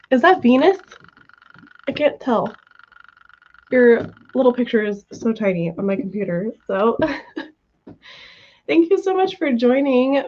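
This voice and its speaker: A woman hosting a casual reading livestream from home, her voice worn from a cold, chatty and relaxed with many ums and soft laughs. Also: a little high. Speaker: livestream host of reading sprints. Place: at home, room with her cat. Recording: dull lo-fi stream audio.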